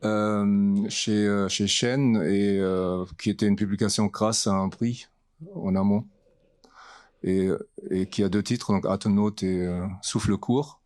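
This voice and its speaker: A man at a discussion adds casual remarks, halting and full of fillers. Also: lowish voice, steady tone, darkish tone.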